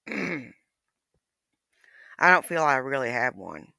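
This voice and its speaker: Lively Southern woman, bright voice. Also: rumbling low, slow pace, creaky, no echo, clean studio sound. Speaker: livestreamer. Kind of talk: casual chat.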